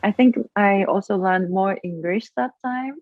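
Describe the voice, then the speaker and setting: A woman with a Japanese accent, a guest in a casual interview, speaking haltingly and searching for her English words. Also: medium pitch, no echo. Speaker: guest, acro yogi. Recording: tinny phone-like line.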